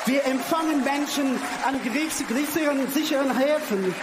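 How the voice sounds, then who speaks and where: A man's voice: extremely high pitch, moderate pace. A man giving a public address to a crowd at a church event, declaiming and repeating a refrain. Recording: played clip with loud crowd noise.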